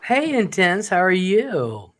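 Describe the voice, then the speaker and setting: A man's voice: sits high, wildly swooping pitch, slow, a bit bright. A man hosting a live call-in show, teasing and flirting with callers and laughing often. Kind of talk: casual flirty call-in chat.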